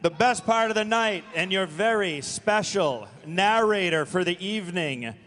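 A man hosting a live convention panel, announcing in a projected, energetic way from the stage. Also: high voice, measured pace. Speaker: panel host. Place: large convention hall stage. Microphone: handheld microphone. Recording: reverberant hall sound system.

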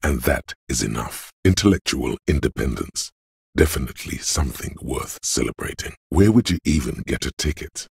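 A man voicing a game character, the inner voice of cold logic, husky and gravelly, speaking in a measured, cerebral, matter-of-fact way. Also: very deep voice, animated, no echo, studio quality, exaggerated voice. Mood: analytical, reassuring. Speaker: video game voice actor. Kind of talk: scripted game narration of inner thoughts.

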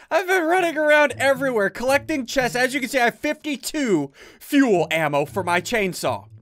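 A young man giving excitable game commentary to his viewers, laughing often, on a headset mic at his desk at home. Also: very high-pitched, moderate pace. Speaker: gaming YouTuber.

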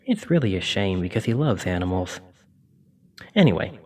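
A man playing a kindly, apologetic sleep paralysis demon in an ASMR roleplay, speaking in a gentle, reassuring, informal way. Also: low-pitched, very animated, moderate pace, husky, recorded from a distance, full character voice. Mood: chatty, fond.

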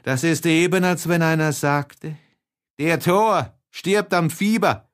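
Slow-paced man reading a literary audiobook. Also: fairly high pitch. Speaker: audiobook narrator. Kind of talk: dramatic literary audiobook reading of a first-person classic novel.

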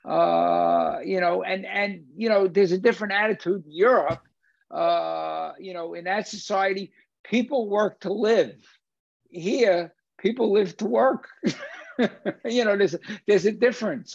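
Older man, high-pitched gravelly voice. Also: expressive, measured pace, dry recording. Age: older, 60s or 70s. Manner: emphatic, repeats phrases for stress. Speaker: film discussion host and lecturer. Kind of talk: conversational film discussion over video call. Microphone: video call, computer at desk distance. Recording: dull lo-fi video-call audio.

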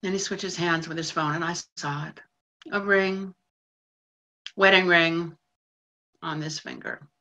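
An actress playing a lonely, lovesick woman who mops floors at a train station, talking bluntly and haltingly with plenty of swearing. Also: on the low side, fairly even intonation, pushed and bright. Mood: yearning. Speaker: actor in a monologue. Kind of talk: stage monologue.

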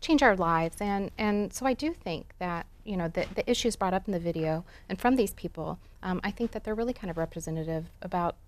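A woman's voice: fairly low pitch, brisk, few pauses. A woman in her thirties answering interview questions in a studio, hesitating with fillers as she thinks. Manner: hesitant, many fillers and restarts. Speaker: guest expert.

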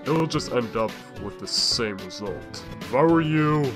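A man's voice: medium pitch, lively intonation, measured pace, over music, close to an everyday voice. A man voicing a smug, unhinged glitchy skeleton destroyer in a comic dub, with a drawling, mocking, theatrical delivery. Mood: taunting, irritated.

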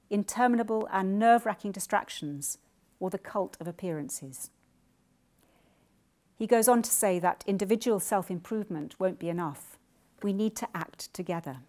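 An older woman speaks in a measured, earnest voice at a middle pitch. She is giving a lecture from a lectern mic in a wood-panelled college room and pauses to think as she answers. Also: a little mellow. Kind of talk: formal lecture then answering questions. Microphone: lectern microphone.

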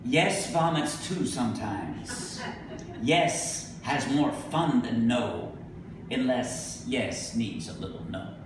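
A middle-aged man giving a live poetry reading, speaking deliberately with emphatic pauses. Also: medium pitch. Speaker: poet. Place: indoor venue with a staircase, live reading. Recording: echoey room from a distance, noisy.

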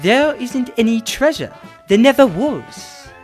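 An actor playing a cagey, defensive small-time forger with slangy, evasive talk, in old radio drama style. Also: male voice, very high voice, big pitch swings, unhurried, faint music behind, full character voice. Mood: flustered, confessing. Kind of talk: 1950s radio drama acting.

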